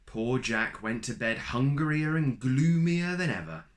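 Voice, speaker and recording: Slow, animated male storyteller. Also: mid-range voice. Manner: animated, asides to listener. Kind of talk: reading a children's storybook aloud.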